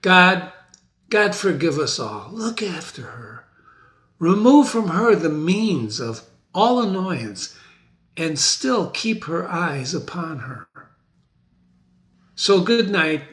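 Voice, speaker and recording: A man playing a grave, pious and fearful physician, solemn and deliberate, in a dramatic reading of a stage tragedy. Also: on the high side, big pitch swings, a bit bright, pitched above the performer's own voice, full character voice. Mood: grave, troubled.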